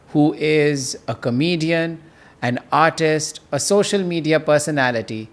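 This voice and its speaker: A forty-year-old man speaking as the host of a podcast, in a conversational style. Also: a little high. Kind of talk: scripted welcome turning into casual banter.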